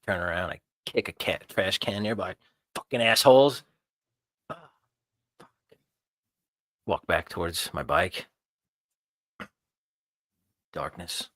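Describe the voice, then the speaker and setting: Slow man roleplaying, with swooping pitch. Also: animated, fairly bright tone, no room sound. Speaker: player. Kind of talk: improvised first-person roleplay.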